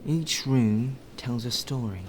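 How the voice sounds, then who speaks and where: A man acts out a polite, fussy butler in an intimate ASMR audio drama. His delivery is slow, measured and deferential, with careful formal phrasing at an ordinary male pitch. The home recording carries clear ambient noise. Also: close to an everyday voice, poor audio. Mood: musing, insinuating. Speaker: voice actor in audio roleplay. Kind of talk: soft ASMR roleplay acting.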